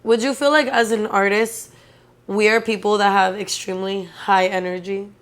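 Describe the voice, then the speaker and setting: A woman's voice: medium pitch, normal speed. A young woman hosting a podcast, chatting casually with a guest and saying "like" often. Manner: relaxed, chatty, uses "like" often. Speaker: podcast host. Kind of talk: casual interview chat.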